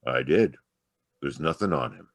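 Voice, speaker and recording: A sardonic, hard-nosed police detective, acted by a man, with a deep voice that swings up and down. He needles gruffly in old radio noir fashion. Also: expressive, unhurried, dry recording, studio quality, exaggerated voice. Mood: needling. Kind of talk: 1940s radio noir acting.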